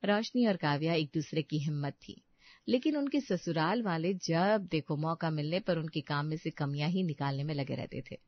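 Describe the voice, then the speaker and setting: A woman's voice: deep voice, expressive, moderate pace, no room sound, muffled recording. A woman narrating a scripted moral story as its storyteller.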